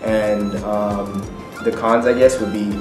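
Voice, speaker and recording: A young man from Bermuda, an international student answering questions in a relaxed, hedging way. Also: fairly low pitch, takes it slowly. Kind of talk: casual street interview. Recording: echoey, distant, music over it.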